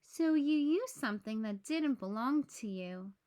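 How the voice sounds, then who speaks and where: A woman voicing a patient, calm animal father in a children's storybook, gently questioning. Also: fairly high pitch, measured pace.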